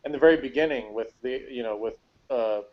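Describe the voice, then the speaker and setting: A man's voice: medium pitch, a little fast. A man giving a casual, wry, rambling review as a panelist in a chat. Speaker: podcast panelist. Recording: dull lo-fi call audio.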